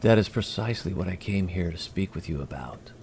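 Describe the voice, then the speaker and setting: A man playing a polite, curious alien emissary in an audio drama, with a gravelly voice and formal, measured, precise speech. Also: low voice, animated, a little mellow. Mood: earnest, measured.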